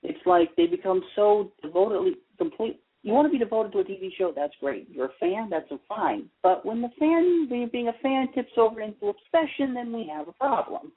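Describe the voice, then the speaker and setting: A man's voice: high-pitched, animated, fairly quick, few pauses, husky. An American man chatting casually on a radio show, talkative and opinionated, rambling with emphatic points, heard over a phone line. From United States. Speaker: guest commentator, soap opera fan.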